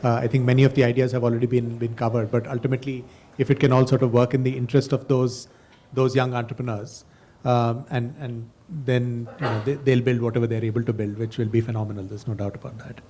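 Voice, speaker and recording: A man's voice: mid-range voice, little pitch movement, fast, dark tone, slight background noise. A man giving reflective, loosely phrased closing remarks on a panel.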